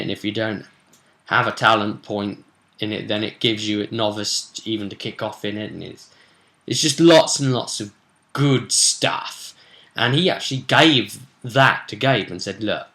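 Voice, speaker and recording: A British man hosts a casual vidcast as a game master. His voice sits a little low but has a bright, forceful edge. He tells the story in a loose, chatty ramble peppered with slang. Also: gravelly. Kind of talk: casual solo recap of a tabletop role-playing session.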